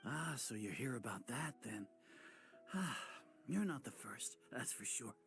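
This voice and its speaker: Hesitant weary village trader. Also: male voice, medium pitch, lively intonation, unhurried, creaky, fairly bright tone. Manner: hesitant, stammering, apologetic. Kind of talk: game cutscene voice acting. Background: game music.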